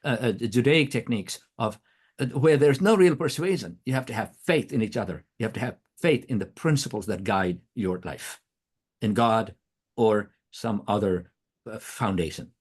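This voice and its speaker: A man's voice: lowish voice, lively intonation, normal speed, a little mellow, breathy edge. A middle-aged man whose first language is Nepali, giving an earnest academic talk, often restarting words, with a throat irritated by allergy. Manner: earnest, questioning, frequent restarts. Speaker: guest speaker, professor.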